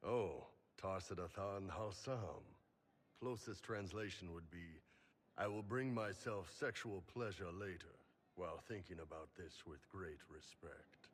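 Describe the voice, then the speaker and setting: An actor playing a hulking, boisterous horned warrior in a video game, with a gravelly voice and a hearty, bawdy manner. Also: male voice, low voice, measured pace. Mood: amused, hearty. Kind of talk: video game character acting.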